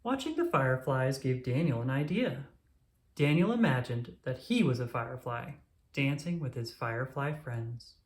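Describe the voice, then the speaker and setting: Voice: medium pitch, expressive, moderate pace, echoey room. A storyteller reading a children's picture book aloud as scripted narration.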